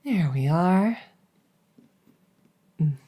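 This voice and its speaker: A woman playing a devoted, centuries-old vampire maid in a gentle ASMR roleplay, speaking softly and formally in a breathy near-whisper. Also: lowish voice, lively intonation, drawn-out words, full character voice. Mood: tender, attentive. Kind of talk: soft-spoken roleplay comfort ASMR.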